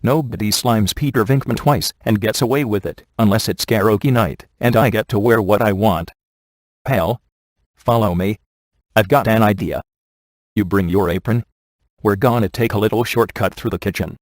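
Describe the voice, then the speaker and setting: A man's voice: on the low side, normal speed, no echo, natural voice. A man playing a wisecracking, laid-back ghost hunter in a video game, with a dry, sardonic and glib delivery. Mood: wry, glib. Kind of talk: scripted video game character dialogue.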